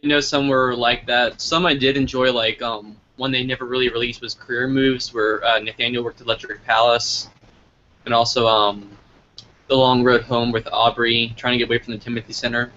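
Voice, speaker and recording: A man's voice: medium pitch, level tone, fairly quick, bright, forceful tone, muffled recording. A man hosting a casual live interview over an online call, informal and thinking aloud as he goes. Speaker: interviewer and host. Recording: noisy, band-limited online call audio.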